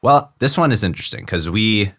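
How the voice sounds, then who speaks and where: A young adult man hosting a podcast, reading a scripted introduction and then interviewing a guest in a measured, friendly way. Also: on the low side, animated, a bit bright, no echo. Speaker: podcast host.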